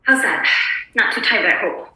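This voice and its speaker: A woman's voice: medium pitch, lively intonation, moderate pace, sharp tone, distant mic. A woman playing a prim, polite pregnant housewife turned exasperated kidnapper in a screenplay table read, scolding with good manners. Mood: prim insistence. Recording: roomy echo, away from mic.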